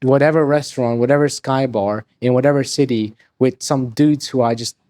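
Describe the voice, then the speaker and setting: A nineteen-year-old man from Hungary, rambling quickly and swearing freely as a podcast guest. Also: medium pitch, darkish tone, no echo. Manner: rapid, rambling, swears freely, restarts sentences. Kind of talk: casual interview talk.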